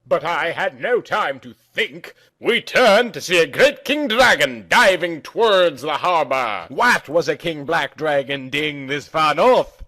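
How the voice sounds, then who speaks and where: A man's voice: on the high side, sing-song, a little slow, hardly any pauses, pushed and bright. A man reading a first-person story aloud in a theatrical, emphatic narrating style.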